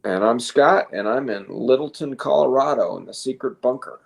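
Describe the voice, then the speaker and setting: A man co-hosting a talk show, reading news reports haltingly with casual asides and self-corrections. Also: mid-range voice, lively intonation, creaky.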